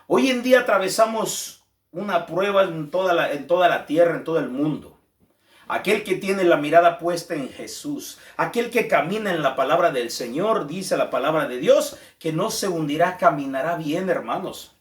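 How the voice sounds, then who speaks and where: A man's voice: fairly high pitch, expressive, normal speed, reverberant. A man preaching a fervent sermon, repeating phrases and calling his listeners brothers.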